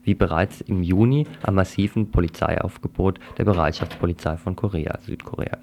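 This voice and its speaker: A man presenting a radio news program in a calm, measured way and interviewing guests. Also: low-pitched, a little hiss or hum.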